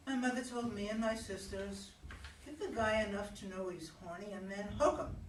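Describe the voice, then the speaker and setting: A woman in a play reading, playing a wounded, sharp-tongued jilted fiancée with a blunt, challenging manner. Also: mid-range voice, close to an everyday voice. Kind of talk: dramatic stage play reading. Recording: roomy, distant microphone, faint noise.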